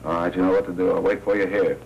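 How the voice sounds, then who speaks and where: An American actor playing a commanding gambling-club crime boss in an old film noir. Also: male voice, sits low, little pitch movement, very fast, gravelly, highs cut off. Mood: cool, commanding. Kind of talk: 1940s film noir acting. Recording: noisy old 1940s film soundtrack.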